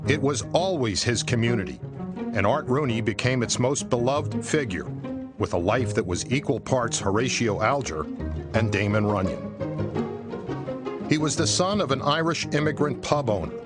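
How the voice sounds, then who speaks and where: Male narrator, American accent, scripted documentary delivery, middling pitch, background music. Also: lively intonation, normal speed. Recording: music behind the voice.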